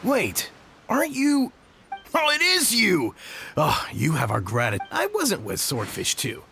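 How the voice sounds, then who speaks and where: Animated, excitable soldier. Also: male voice, high voice, unhurried, creaky, exaggerated voice. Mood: excited, grateful. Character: excitable, grumbling male resistance soldier. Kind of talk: anime game voice acting. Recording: loud game music over dialogue.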